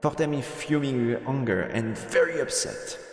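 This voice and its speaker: A man's voice: medium pitch, normal speed. A man doing a playful let's-play, reading story text aloud and adding casual commentary. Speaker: streamer, let's-player. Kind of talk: game narration and casual commentary. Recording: roomy reverb, music behind.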